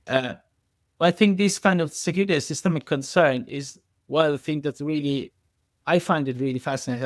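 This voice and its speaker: A man speaking as an expert podcast guest in a conversational way, haltingly, often repeating words and circling through filler phrases. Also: medium pitch, no echo, clean studio sound. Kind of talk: conversational expert interview answers.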